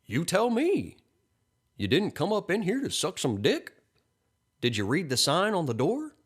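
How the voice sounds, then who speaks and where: A man's voice: medium pitch, sing-song, measured pace. A man voicing a friendly, pushy, folksy middle-aged stranger in an audiobook story, with a funny accent. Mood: folksy, apologetic. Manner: folksy, chummy, coaxing.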